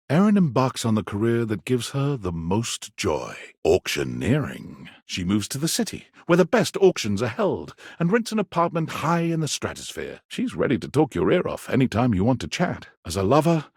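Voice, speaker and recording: A man's voice: medium pitch, darkish tone, husky, no echo, clean studio sound, heavily acted. A man playing a dramatic storyteller, reading scripted second-person narration for a romance game.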